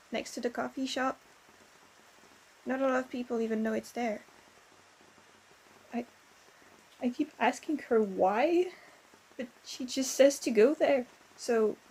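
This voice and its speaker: A woman playing a distraught, lonely young female ghost in an emotional audio roleplay, speaking haltingly with stammered repetitions. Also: fairly high pitch, darkish tone, breathy edge, full character voice, poor audio.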